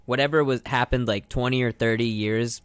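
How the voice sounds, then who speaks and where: A man's voice: medium pitch, fairly even intonation, brisk, smooth, no echo. A young American man chatting casually as co-host of a college radio show. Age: young adult. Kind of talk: casual chat.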